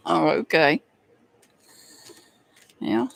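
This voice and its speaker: Chatty, high-voiced man with a slight Southern accent. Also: expressive, very slow, gravelly, fairly bright tone. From United States. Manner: chatty, laughs often, answers viewers by name. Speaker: tarot reader hosting a live stream. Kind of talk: casual live-stream chat.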